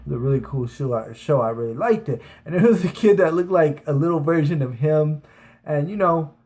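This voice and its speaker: A man's voice: a little high, fairly quick, a little mellow. A man in his thirties casually telling stories from his school days on his vlog, rambling with restarts and fillers. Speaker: vlogger. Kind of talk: casual storytelling.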